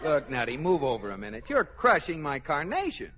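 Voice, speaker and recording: An actor playing an exasperated but affectionate husband in a mid-century radio comedy, incredulous and animated. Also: male voice, on the high side, brisk, a loud crowd. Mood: teasing. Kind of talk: 1940s-50s radio comedy acting. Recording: very noisy, muffled old-time radio recording.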